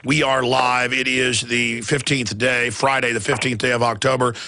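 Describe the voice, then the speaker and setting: A man's voice: medium pitch, flat delivery, normal speed, sharp tone. A man hosting a talk radio show, sarcastic and combative, taking calls.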